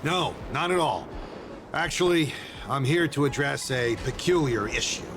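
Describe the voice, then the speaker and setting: A man's voice: medium pitch, animated, a little slow, background noise. An actor voicing a busy, puzzled male shipyard worker in a video game, polite but preoccupied.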